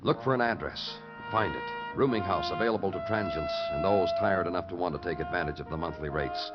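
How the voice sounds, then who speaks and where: An actor playing a hardened New York homicide detective, narrating in terse, poetic fragments in a 1950s American radio drama style. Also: male voice, deep voice, expressive, fairly quick. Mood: grim, weary. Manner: terse, clipped fragments, poetic and world-weary. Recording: muffled old radio recording with music.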